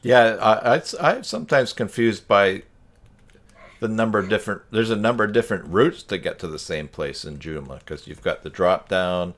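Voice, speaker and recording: A man's voice: fairly low pitch, normal speed. A man in casual conversation on a group video call, thinking aloud in a rambling, tentative way. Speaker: participant in a web tutorial livestream.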